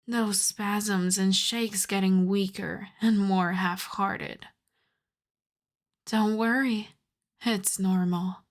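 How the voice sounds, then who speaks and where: Woman doing a seductive vampire countess for asmr, really slow n soft, breathy whispers, teasing and possessive. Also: mid-range voice. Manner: slow, crooning, condescendingly tender. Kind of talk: ASMR roleplay, acted monologue.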